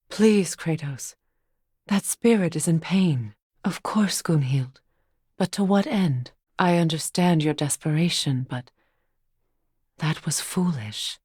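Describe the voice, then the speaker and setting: A woman playing a solemn, compassionate, determined ancient goddess in a video game, speaking in a measured, formal, earnest way. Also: sits low, darkish tone, no echo, clean studio sound. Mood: watchful, concerned. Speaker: video game character voice actor. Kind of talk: dramatic game dialogue.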